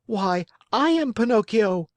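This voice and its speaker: A woman's voice: mid-range voice, slow pace, darkish tone, dry recording, voice pushed up, natural voice. A woman voicing a young wooden puppet boy, emotional and eager, in a dramatic, plaintive storybook manner. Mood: pleading, anxious.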